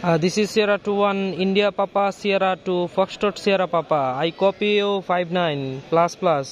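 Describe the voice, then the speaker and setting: A man's voice: high voice, fairly even intonation, extremely slow. A man with a Bangladeshi accent speaking as an amateur radio operator, hesitant and repetitive, moving between chat and call signs. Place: outdoors on a rooftop.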